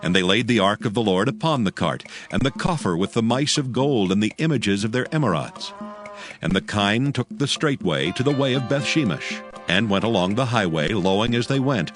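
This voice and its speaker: A man's voice: fairly low pitch, lively intonation, normal speed. A man narrating a dramatized Bible reading in a solemn, formal storytelling manner. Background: background music.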